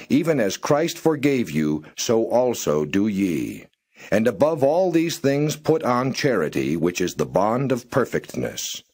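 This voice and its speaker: A man reading Bible verses aloud in a slow, measured way, pausing between phrases. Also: medium pitch, darkish tone, breathy edge. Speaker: scripture reader.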